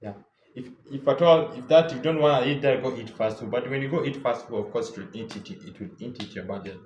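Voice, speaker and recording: A man's voice: mid-range voice, quick pace, darkish tone, roomy sound, a little hiss or hum, poor audio. A young Kenyan man with a Kenyan English accent, giving casual travel tips in a loose, chatty way full of repeats and fillers. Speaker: travel vlogger. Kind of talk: casual travel advice talk.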